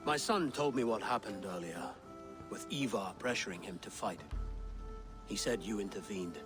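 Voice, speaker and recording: A man's voice: lowish voice, expressive, normal speed, music in the background, lightly acted. A man playing a solemn, aggrieved middle-aged claimant to a crown in a video game, speaking formally and insistently. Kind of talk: dramatic video game acting.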